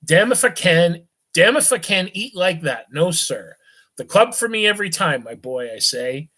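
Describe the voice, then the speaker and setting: A man's voice: high voice, big pitch swings, moderate pace, pushed and bright, husky, voice pushed up, full character voice. A man doing a slurring drunk rich youth. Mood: drunken rambling. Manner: slurring and hiccuping, lurching tipsy chatter. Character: drunk rich young man, eighteen, jovial and rambling.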